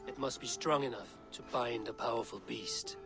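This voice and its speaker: A man's voice: mid-range voice, moderate pace, vocal fry, music in the background, lightly acted. An actor playing a grave, authoritative Norse god-king, stern and wary, in a video game cinematic. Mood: grave, commanding.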